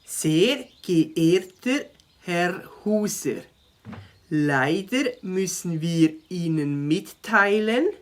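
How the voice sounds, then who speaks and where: A woman voicing a young male beaver, caring and determined, in a children's audio drama, earnest and Swiss-accented. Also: fairly low pitch. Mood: careful reading aloud.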